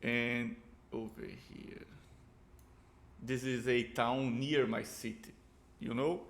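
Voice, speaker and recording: A Brazilian man with a Brazilian Portuguese accent, a native Portuguese speaker who talks in casual reaction commentary, halting and repeating words as he searches for English. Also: mid-range voice, little pitch movement, gravelly. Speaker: reaction video host.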